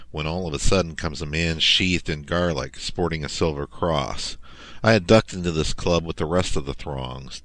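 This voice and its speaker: A centuries-old vampire acted out by a man tells his tale in an extremely deep voice. He speaks as a first-person horror storyteller. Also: a bit bright.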